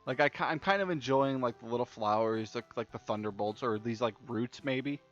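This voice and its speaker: A man's voice: mid-range voice, a little fast. A young man doing casual let's play commentary and reading game text aloud, informal and stumbling over repeated words. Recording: dull lo-fi mic with music behind. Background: game music.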